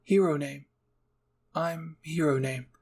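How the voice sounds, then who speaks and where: A man's voice: on the high side, slow pace, gravelly, a little mellow, slightly rough, heavily acted. A man voicing a nervous young runaway in an anime fan roleplay, shy and hesitant with a stammer.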